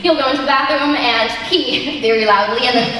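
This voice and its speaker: A high school student actress playing a guarded, sharp-tongued young woman in a stage comedy, projecting with animated delivery in an auditorium. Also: a little fast, distant mic. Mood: indignant, sarcastic. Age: teenager or young adult high school student. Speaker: student actor in a high school one-act play. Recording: echoey auditorium recorded from afar, noisy.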